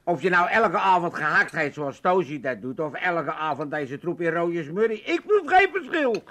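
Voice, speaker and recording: A man's voice: on the high side, big pitch swings, moderate pace, bright, forceful tone. An actor playing a cranky, theatrical old father with an Amsterdam accent in a comic radio play. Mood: grumbling. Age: older. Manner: grumbling, theatrical, exclaiming.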